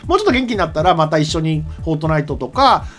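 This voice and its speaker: A man's voice: a little high, big pitch swings, racing, a bit bright. A middle-aged man on a talk show, chatting casually and loosely into a desk microphone. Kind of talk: casual, rambling chat. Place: small studio, seated at desk. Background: background music.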